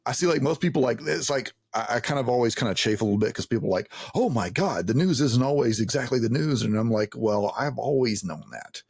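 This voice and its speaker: A man's voice: mid-range voice, animated, fast talker, gravelly, few pauses, slightly rough, no room sound, clean studio sound. A middle-aged man chatting casually as a podcast host, circling his point and rephrasing mid-thought. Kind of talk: casual conversational interview.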